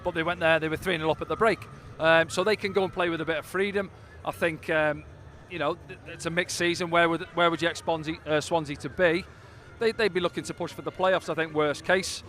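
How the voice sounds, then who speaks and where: Fast-talking man, pitchside pundit. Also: steady tone, a bit bright, a little hiss or hum. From Wales. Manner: chatty, filler-heavy, upbeat. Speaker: pundit, former player. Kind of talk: casual pre-match punditry. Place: outdoors pitchside at a stadium.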